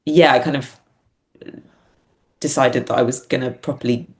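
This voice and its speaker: A woman's voice: a bass voice, steady tone, normal speed. A woman talking reflectively as an interview guest, hesitant, thoughtful and self-effacing. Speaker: podcast guest, playwright and performer.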